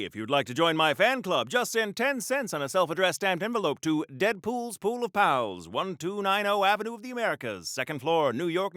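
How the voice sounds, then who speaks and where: Golden age comic book hero for a game, bright forceful voice, pompous n earnest, swings up and down, super theatrical. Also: male voice, fairly high pitch, no echo, studio quality. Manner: pompous, earnest, theatrical declaiming with crisp heroic diction. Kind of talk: retro mid-century comic book hero voice acting for a video game.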